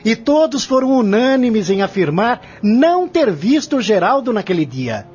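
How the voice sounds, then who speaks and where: A man's voice: high-pitched, big pitch swings, normal speed, darkish tone, husky, exaggerated voice. A man playing a confident, relentless lawyer in an audio drama, arguing in a formal, articulate, declamatory way. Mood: methodical, confident. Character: confident prosecuting lawyer, relentless, methodical, formal courtroom orator. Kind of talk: audio drama acting, courtroom scene. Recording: dull lo-fi audio with music underneath.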